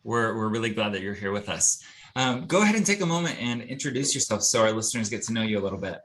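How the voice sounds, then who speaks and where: A man's voice: lowish voice, sing-song, fast, a bit bright. A man hosting a podcast, welcoming his guest and asking questions in a conversational way. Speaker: podcast host. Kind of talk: casual interview.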